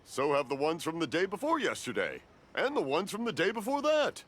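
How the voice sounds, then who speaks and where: An actor playing a cheerful elderly fireworks maker who is hard of hearing and keeps mishearing and rambling. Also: male voice, fairly high pitch, wildly swooping pitch, brisk, a bit bright, exaggerated voice. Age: older. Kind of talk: video game voice acting. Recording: game cutscene with music behind.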